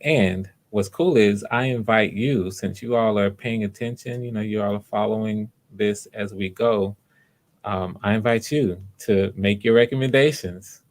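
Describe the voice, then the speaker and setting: A man hosting live online auditions, talking casually to viewers in an unhurried, explanatory way, thinking aloud as he goes. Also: on the low side. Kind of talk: casual livestream talk to viewers.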